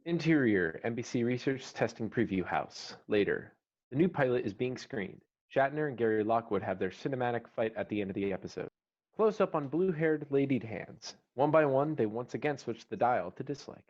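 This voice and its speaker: Guy reading out screenplay scene headings and action, mid pitch, slightly muffled lo-fi sound. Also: lively intonation, normal speed, a little mellow. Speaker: narrator reading stage directions. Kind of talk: scripted screenplay narration. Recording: slightly dull online call audio.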